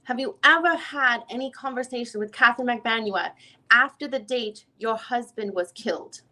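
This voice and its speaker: A woman's voice: on the high side, moderate pace, bright, forceful tone. A woman reading the part of a lawyer questioning a witness at a deposition in a formal, methodical way. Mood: formal, probing. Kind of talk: dramatic reading of a legal transcript.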